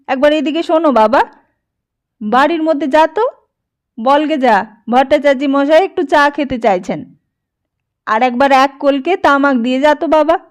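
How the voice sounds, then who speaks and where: A woman voicing a garrulous, nosy old male village priest in an audiobook, rambling familiarly from question to question. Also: high voice. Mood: coaxing, familiar. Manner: rambling, chatty, question after question. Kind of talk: dramatised audiobook dialogue.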